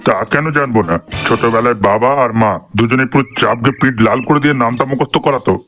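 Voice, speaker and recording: A man's voice: medium pitch, quick pace, fairly bright tone, soft background music, natural voice. Man acting a bossy, scheming village gang boss. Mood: puzzled, boastful. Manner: hectoring, explanatory, swearing. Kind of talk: comic cartoon dialogue.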